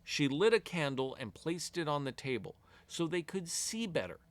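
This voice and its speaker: A man reading a fairy tale aloud to children as an expressive storyteller, with deliberate storybook phrasing. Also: on the high side.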